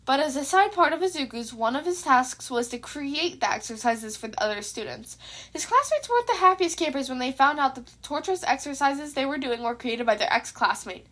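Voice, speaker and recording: A woman's voice: sits high, lively intonation, fairly quick, fairly bright tone. A woman reading a scripted piece of fan fiction aloud as a narrator. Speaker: podfic narrator.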